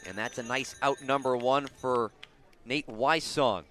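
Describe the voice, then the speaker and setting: A man's voice: mid-range voice, unhurried, fairly bright tone. A man doing live radio baseball play-by-play as the announcer, outdoors at a ballpark. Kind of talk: live radio sports commentary.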